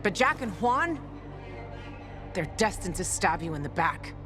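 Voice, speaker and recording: A woman's voice: medium pitch, big pitch swings, measured pace, over music. An actress playing a tough, protective, foul-mouthed young woman survivor in a video game, blunt and urgent. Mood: scornful anger.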